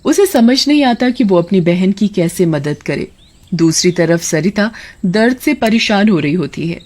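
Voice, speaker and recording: A woman's voice: mid-range voice, animated, a little slow, darkish tone. A woman narrating a scripted moral tale as a storyteller.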